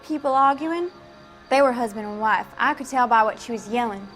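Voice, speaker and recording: A woman's voice: a little high, brisk, a bit bright, soft background music, lightly acted. A woman playing an eager, gossipy witness.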